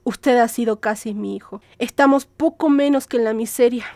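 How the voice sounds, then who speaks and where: A woman's voice: on the high side, normal speed, darkish tone, no room sound, close to an everyday voice. A woman reading a fawning, ailing old mother. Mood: coaxing. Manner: ingratiating, pleading, dramatic exclamations. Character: aging, ailing, scheming mother, fawning and wheedling. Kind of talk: audiobook drama reading.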